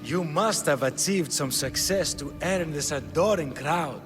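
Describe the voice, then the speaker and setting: An actor playing a sardonic Spartan council official in a video game, pompous and wry. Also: male voice, fairly high pitch, unhurried, a bit bright, soft background music. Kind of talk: video game cutscene acting.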